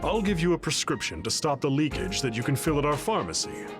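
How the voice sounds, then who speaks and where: A man's voice: fairly high pitch, very animated, fairly quick, vocal fry, music in the background. A man playing a reassuring hospital doctor in a video game, explaining in a clinical manner. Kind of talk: video game cutscene voice acting.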